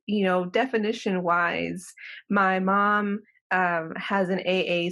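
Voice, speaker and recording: A woman in her thirties from the Los Angeles area, talking in a relaxed, chatty way as an interview guest over a video call. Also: lowish voice. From Los Angeles County, California. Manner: relaxed and chatty, many ums and small laughs. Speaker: guest, professor. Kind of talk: casual interview conversation. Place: home room, video call. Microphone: video call computer mic.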